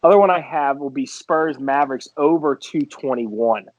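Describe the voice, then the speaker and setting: A man co-hosting a casual sports betting podcast, talking picks in a chatty, animated way. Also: medium pitch, moderate pace, no echo. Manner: chatty, animated, laughing banter. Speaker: podcast co-host, sports bettor. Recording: dry, dull lo-fi podcast audio.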